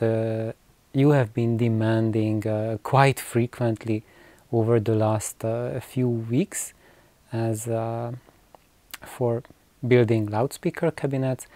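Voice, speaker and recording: A man in his forties with a mellow voice talks slowly to the camera. He explains in a halting, unscripted way, repeating words as he goes. The sound is dry, in a small indoor room. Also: mid-range voice, no echo. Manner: halting, hesitant phrasing with repetitions. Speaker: video host. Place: small cluttered room with shelves of records, indoors.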